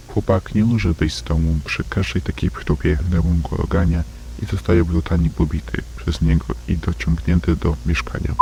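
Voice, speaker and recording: A man narrating a scripted analog horror case file in a detached, report-like manner. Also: deep voice. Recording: faint hum and background noise.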